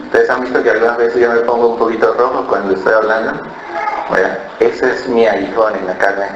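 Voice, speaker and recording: A man's voice: mid-range voice, fairly even intonation, fairly quick, noisy background. Man, pastor, Cali Colombian accent, storytelling sermon, roomy muffled church sound, faint ambience. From born in Ecuador, raised in Colombia. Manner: storytelling, mimics other voices, frequent "¿verdad?".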